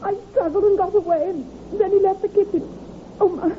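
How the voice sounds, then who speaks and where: An actress playing a timid, frightened young housemaid, flustered and hesitant, in an old radio drama. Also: female voice, extremely high pitch, steady tone, brisk. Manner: timid, flustered, hesitant, uneducated servant's speech. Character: frightened young housemaid, meek, simple, easily upset. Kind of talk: 1950s radio drama acting. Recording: tinny, noisy old radio recording.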